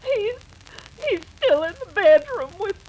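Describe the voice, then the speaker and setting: A frantic, weeping ranch wife in an old-time radio western. Her voice is extremely high and slow, swinging widely, over clear noise. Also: expressive, warm tone, lo-fi sound. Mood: tearful, frantic. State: crying. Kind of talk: 1930s western radio acting.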